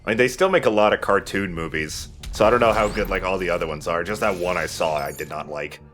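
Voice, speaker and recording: A man's voice: deep voice, fast talker, a bit bright. A man giving casual commentary while he plays a video game, speaking as the host of a let's play. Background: game music.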